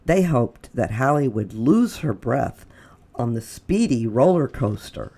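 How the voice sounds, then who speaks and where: A woman reading a children's picture book aloud as a storytime reader, with expressive delivery, dramatic pauses and drops to a whisper. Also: very deep voice.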